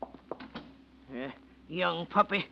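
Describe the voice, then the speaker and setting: An American actor playing a timid, penny-pinching landlord who grumbles in folksy, ungrammatical speech, in old radio drama style. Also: male voice, fairly high pitch, extremely slow, creaky, background noise, heavily acted. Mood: grumbling defensive. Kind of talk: 1940s-50s American radio drama acting. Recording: dull vintage radio recording.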